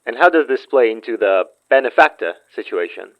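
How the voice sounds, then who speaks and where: A man acting in an audio drama as a shrewd, distrustful con man who speaks in a knowing, deliberate way. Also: mid-range voice, expressive, narrow, tinny audio, lightly acted. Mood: skeptical.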